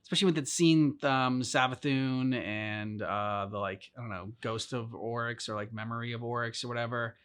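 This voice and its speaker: A man co-hosting a game reaction video, speaking haltingly, with many fillers, and trailing off. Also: medium pitch, a bit bright, smooth. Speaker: co-host of a game reaction channel. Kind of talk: casual reaction chat.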